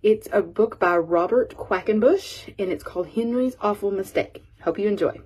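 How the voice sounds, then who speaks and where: A woman reading a children's picture book aloud as an expressive storyteller. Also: medium pitch, normal speed, husky, poor audio.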